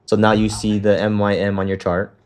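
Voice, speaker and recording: A man's voice: lowish voice, little pitch movement, fairly quick, darkish tone, clear, resonant tone. Laid-back man walking someone through setup. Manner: loose, filler-heavy, swears casually. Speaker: trading coach. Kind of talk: casual walkthrough on a call.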